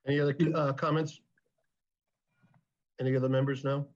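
A man's voice: medium pitch, little pitch movement, normal speed. A man chairing a zoning board meeting on a video call, businesslike and courteous. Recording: muffled video-call audio.

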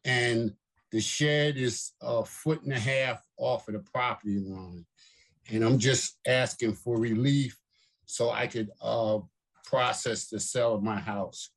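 Older man, applicant, plain halting speech, middle pitch, video call. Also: steady tone, vocal fry. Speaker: applicant before a zoning board. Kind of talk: testimony at a public meeting.

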